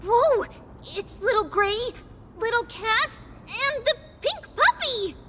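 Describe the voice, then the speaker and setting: Bubbly, very high-voiced little girl. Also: slow, noisy background, exaggerated voice. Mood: excited, proud. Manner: excitable, third-person childlike talk. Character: bubbly childlike little girl who speaks of herself by name. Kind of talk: anime-style game voice acting.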